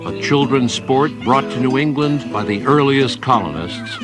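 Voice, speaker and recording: A man's voice: medium pitch, expressive, measured pace. A man delivering scripted history narration as a documentary narrator. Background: plucked guitar music.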